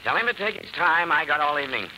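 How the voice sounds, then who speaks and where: An actor playing a shrewd, dogged police detective with wry, pointed questioning in an old-time radio crime drama. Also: male voice, a little high, animated, fast talker, lightly acted. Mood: coolly insistent. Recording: noisy old radio recording.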